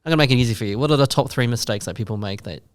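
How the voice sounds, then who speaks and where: A man's voice: medium pitch, very fast, no room sound. A man around forty co-hosting a podcast, talking in a rambling, self-deprecating way and asking long questions. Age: 40s. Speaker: podcast co-host. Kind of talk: casual chat.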